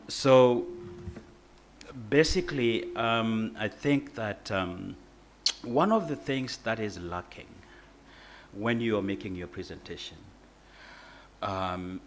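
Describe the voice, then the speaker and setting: A man speaking as a panelist, measured and pausing with fillers. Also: fairly low pitch, a little hiss or hum. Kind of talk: panel discussion talk.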